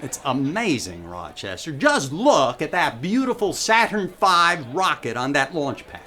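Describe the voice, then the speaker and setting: A man acting as a vain, thrifty radio comedian, fussy and exasperated, with halting deadpan reactions. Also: fairly high pitch, heavily acted. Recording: noisy live-style recording with crowd.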